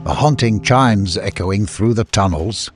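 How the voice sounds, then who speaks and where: A man's voice: lowish voice, measured pace, music in the background. A man reading a dramatic scripted adventure story as an audiobook narrator, with faint background noise.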